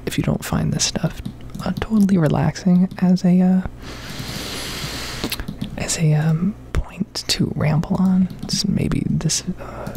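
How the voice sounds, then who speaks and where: A woman whispering a casual, rambling ASMR commentary over a video game, pausing often as she goes. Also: low-pitched, a little mellow. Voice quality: whispered, breathy. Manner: casual, rambling, hesitant pauses. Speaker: video creator, game streamer.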